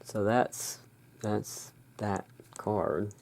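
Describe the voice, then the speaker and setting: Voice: medium pitch, level tone, drawn-out words, darkish tone, a little hiss or hum. An ASMR host giving casual commentary on tarot cards, musing aloud as if talking to themselves.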